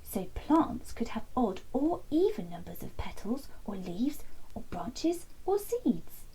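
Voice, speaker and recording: A woman reading a children's bedtime story aloud in a lively, expressive way, dropping to soft whispers for asides. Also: on the high side, slow, a little hiss or hum, poor audio.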